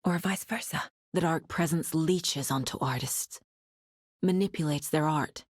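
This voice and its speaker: A woman's voice: low-pitched, a little slow, no room sound, studio quality. An actress voicing a focused, determined female investigator in a video game, troubled but dryly wry. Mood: focused, pensive. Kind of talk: dramatic game voice lines.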